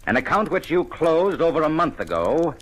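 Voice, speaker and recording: Shrewd police inspector, swooping pitch. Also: male voice, big pitch swings, a bit bright, rough recording. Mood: accusing. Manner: deliberate, pointed, theatrical questioning. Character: shrewd male police inspector, cool, accusing, relentless. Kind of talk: 1940s radio detective drama acting.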